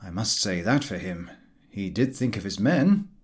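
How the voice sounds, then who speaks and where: A man reading a comic novel aloud as a narrator, expressive and drily humorous. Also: on the low side, normal speed. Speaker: audiobook narrator.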